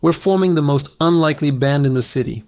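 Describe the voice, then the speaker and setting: A man's voice: a little high, fast talker, a little mellow, natural voice. A man voicing a proud, haughty aging female house cat.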